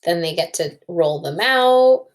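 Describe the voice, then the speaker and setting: A woman's voice: fairly low pitch, expressive, slow. A woman teacher doing a children's read-aloud and story discussion, thinking aloud, recorded indoors at a computer.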